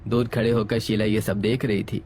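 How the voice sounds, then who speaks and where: A man's voice: on the low side, little pitch movement, moderate pace, darkish tone. A man reading scripted narration for a family moral story, setting out scenes as the narrator.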